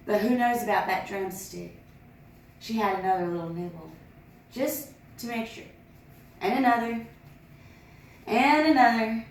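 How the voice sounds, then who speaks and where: A woman reads a children's picture book aloud, lively, voicing the sound effects in a sing-song way. Her voice is a little high and bounces around a very echoey room, recorded from a distance. A faint background hiss stays under her. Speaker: storyteller.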